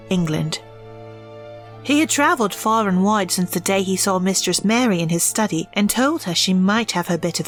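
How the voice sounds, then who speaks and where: A woman giving scripted storybook narration in a measured, reflective way. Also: medium pitch.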